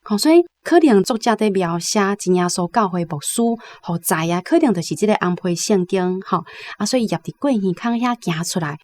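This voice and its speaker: A woman's voice: on the low side, animated, fairly quick, hardly any pauses, darkish tone. A woman with a Taiwanese accent giving explanatory literary commentary on radio, conversational, often ending phrases with particles.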